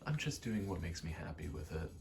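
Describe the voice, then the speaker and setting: A young adult man in a quiet, casual ASMR-style ramble, speaking candidly and hesitantly, often trailing off. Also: low voice, very animated, brisk, creaky, a little hiss or hum. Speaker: video maker.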